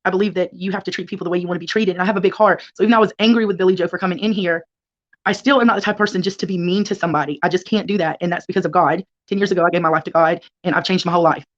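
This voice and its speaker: Muffled lo-fi audio, middle-aged woman talking fast, earnest interview guest. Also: lowish voice, fairly even intonation. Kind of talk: conversational interview answers. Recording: dull, lo-fi call audio.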